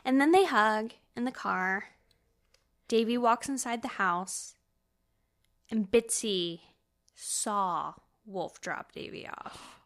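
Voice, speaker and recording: A woman's voice: fairly high pitch, slow pace, pauses often, a bit bright. A woman podcast host chatting casually as she retells a novel in an informal, conversational way. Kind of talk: casual chat recapping a novel.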